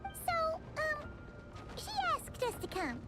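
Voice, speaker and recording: A woman voicing a tiny, cheerful childlike fairy companion in an anime-style game, with a squeaky voice. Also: wildly swooping pitch, takes it slowly, full character voice. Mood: hesitant. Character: tiny cheerful floating fairy girl companion, childlike, speaks in third person. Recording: loud music behind the voice.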